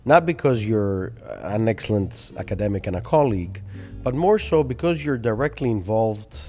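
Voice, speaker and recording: A man in his forties hosting a studio interview, eager and quick to interject. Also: lowish voice, expressive, darkish tone, soft background music. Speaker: interview host. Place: studio set with armchairs. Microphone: desk mic on boom arm.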